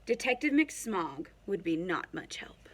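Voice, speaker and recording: A woman reading a children's storybook aloud in an expressive narrating style. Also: lowish voice, a little slow. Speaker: storybook reader.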